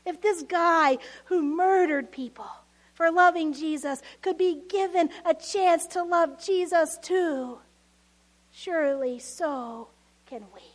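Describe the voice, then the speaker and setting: A woman's voice: extremely high pitch, slow. A woman with a Texas accent preaching a sermon. She is earnest and emphatic, and sometimes drops her voice almost to a whisper. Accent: Texan.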